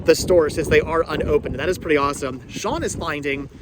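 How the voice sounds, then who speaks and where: A man's voice: a little high, a little fast. A man in his forties chatting casually for a vlog at an outdoor flea market, stammering and repeating his words as he jokes with companions. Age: 40s. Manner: jokey banter with companions. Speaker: vlog host. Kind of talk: casual chat, vlog commentary while shopping. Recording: noisy outdoor ambience.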